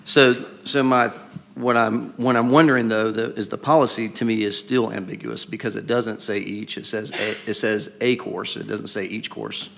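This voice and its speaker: A raspy man talks through a policy point at a board meeting. His low voice has a gravelly fry and stays fairly flat. He questions deliberately and restarts phrases as he builds his case. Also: slight background noise. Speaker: school board member. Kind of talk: public meeting discussion.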